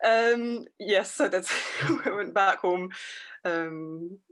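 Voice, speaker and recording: A young woman in her twenties answering interview questions softly, with many ums. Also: mid-range voice, drawn-out words. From Scotland, raised in France. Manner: soft-spoken, many fillers. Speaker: interviewee, youth worker. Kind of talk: conversational interview.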